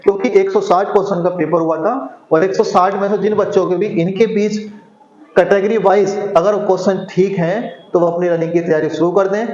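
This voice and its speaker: A man with a notably high voice explains things to students. He repeats his points and punctuates them with small rhetorical questions. The sound is dull and bounces around an echoey room. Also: a little mellow. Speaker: exam coaching teacher. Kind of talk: informal explainer addressed to students. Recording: muffled audio in echoey room.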